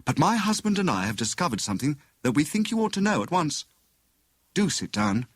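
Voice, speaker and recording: Male narrator as clever young lady sleuth, raised pitch, swooping emphasis, brisk and knowing, audiobook mystery. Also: big pitch swings, breathy edge, dry recording, studio quality. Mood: poised, leading. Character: clever, excitable young married woman turned amateur sleuth.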